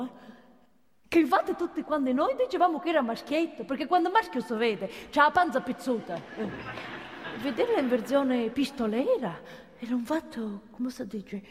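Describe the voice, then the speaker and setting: An actress playing a chatty, folksy working-class gossip in a theatrical radio monologue. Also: female voice, high voice. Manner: colloquial, with tag questions and exclamations. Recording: long hall reverb of a stage.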